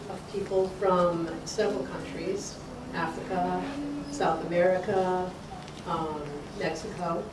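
Older woman tutor, easygoing, low-pitched; distant mic in an echoey room. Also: flat delivery, slow, hardly any pauses. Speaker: volunteer tutor. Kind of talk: casual remarks. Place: community room, at a podium. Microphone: podium mic, far camera. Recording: echoey room from far off, noise.

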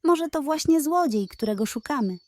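Practical female animal friend, woman narrator voicing, thoughtful, warm tone. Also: high-pitched, animated, moderate pace, pitched above the performer's own voice.

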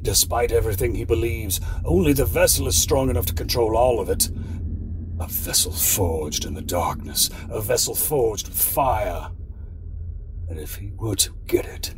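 Guy acting a grandiose schemer in an audio drama, deep slowish voice, portentous, noisy background. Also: lightly acted. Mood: grave, ominous. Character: scheming, bitter male cult mastermind, condescending and grandiose.

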